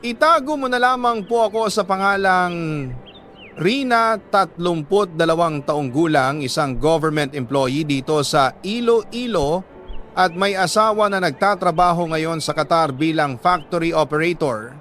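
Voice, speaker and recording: A man with a Filipino accent narrating listeners' letters as a storyteller, earnest and sentimental in dramatic, deliberate phrases. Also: on the high side, fairly bright tone, faint music behind.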